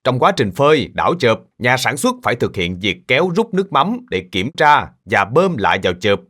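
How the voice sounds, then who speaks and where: A man's voice: mid-range voice, very animated, moderate pace, fairly bright tone. A man playing a kindly middle-aged uncle in a cartoon, patiently explaining things to children. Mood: patient, instructive. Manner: patient, instructive. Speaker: fish sauce maker explaining to children.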